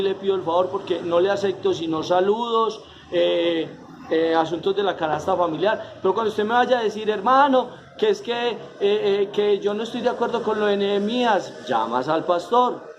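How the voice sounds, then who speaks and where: A man preaching in a church, his voice sitting high for a man. He leans hard on key words, drawing them out, and drops in dry joking asides. The recording is muffled and lo-fi, with a faint hiss underneath. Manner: emphatic, drawn-out stresses with joking asides. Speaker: pastor. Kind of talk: sermon. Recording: dull lo-fi sermon recording.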